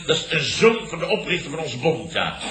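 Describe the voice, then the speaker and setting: An actor playing a flustered, long-winded middle-aged boss who blusters and repeats himself in a radio comedy. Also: male voice, a little high, sing-song, a little fast. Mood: indignant. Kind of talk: 1970s Dutch radio comedy acting. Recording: roomy old radio recording, studio audience.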